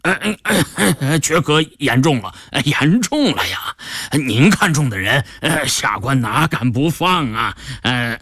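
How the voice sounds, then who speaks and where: Man putting on a nervous obliging old-time chinese official, hesitant n stammery, very up and down pitch, audio story. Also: very animated, unhurried, fairly bright tone, breathy edge, no room sound, clean studio sound, full character voice. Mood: flustered, submissive. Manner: hesitant, stammering, chuckling deference. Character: deferential, flustered male provincial governor, obliging and ingratiating.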